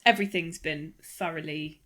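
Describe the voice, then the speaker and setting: A woman's voice: low-pitched, steady tone, normal speed, a bit bright. A woman with a British accent co-hosting a podcast in a casual, chatty style with dry, wry humour. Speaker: podcast co-host. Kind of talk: casual chat.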